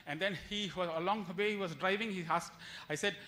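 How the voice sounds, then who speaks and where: A man's voice: sits high, fast, bright, forceful tone. A man giving a devotional lecture as a retreat speaker, telling stories with acted-out quotes and rhetorical questions and repeating phrases for emphasis.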